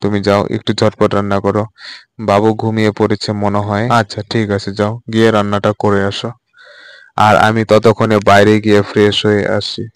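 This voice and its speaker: A man's voice: lowish voice, monotone, a little fast, smooth, no room sound, natural voice. A man playing a poor, weary village fisherman in a cartoon story, sighing and plaintive. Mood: tired, domestic. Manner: plaintive, sighing, muttering to himself. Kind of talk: amateur cartoon story dialogue. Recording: loud background music under voice. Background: music.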